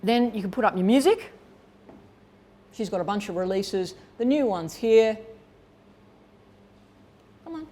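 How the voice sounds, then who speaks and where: A woman's voice: mid-range voice, expressive, measured pace, pauses often, warm tone. A woman presenting a workshop in an informal, conversational way, explaining and thinking aloud as she demonstrates. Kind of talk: informal instructional talk to attendees.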